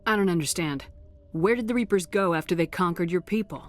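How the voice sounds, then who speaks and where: An actress playing a resolute military commander in a sci-fi video game, asking direct, serious questions. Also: female voice, on the low side, fairly quick, natural voice. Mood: probing, determined. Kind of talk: scripted video game dialogue.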